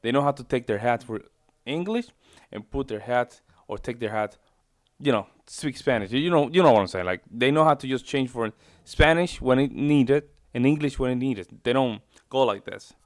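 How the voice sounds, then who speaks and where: A man's voice: medium pitch, expressive, moderate pace, no room sound, clean studio sound. A man hosting a reaction video, rambling casually and repeating himself as he reacts. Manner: chatty, rambling, repeats himself, informal "bro".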